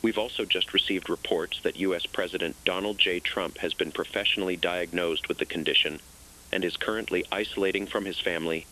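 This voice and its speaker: A man playing an official emergency broadcast announcer, reading a scripted alert in a formal, measured, grave manner for an analog horror drama. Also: low voice, fairly even intonation, bright, forceful tone, rough recording.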